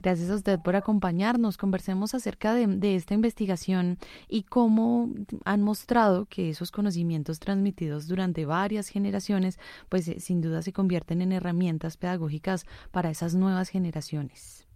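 A woman hosting a radio news program, her voice a little low and mellow in tone. She reads and interviews in a clear, formal announcer manner, recorded dry in the studio. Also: fairly even intonation, moderate pace, hardly any pauses, no echo. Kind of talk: scripted news presenting and interviewing.

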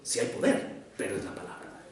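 A man from Mexico with a Mexico City and Jalisco accent, a psychoanalyst giving a measured, reflective lecture to an audience. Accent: Mexican, Mexico City mixed with Jalisco. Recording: echoey hall, voice from far off.

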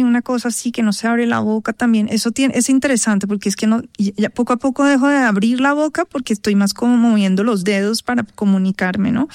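A woman, a voice actress giving thoughtful, searching answers in an interview, full of pauses and restarts. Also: on the high side, darkish tone, clear, resonant tone, no room sound, clean studio sound. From Colombia. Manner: thoughtful, many fillers and restarts. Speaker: guest, voice actress.

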